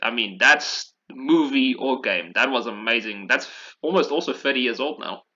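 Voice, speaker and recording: A man's voice: mid-range voice, moderate pace, sharp tone, muffled recording. A man hosting a podcast, talking casually and with opinions about video games, often repeating phrases for emphasis. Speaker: podcast host.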